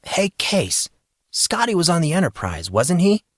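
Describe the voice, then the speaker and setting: A man's voice: fairly high pitch, huge pitch swings, measured pace, no room sound, studio quality, pitched above the performer's own voice, exaggerated voice. A man voicing a naive, bewildered fourteen-year-old schoolboy in an audiobook drama, with an eager, questioning manner. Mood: curious, insistent.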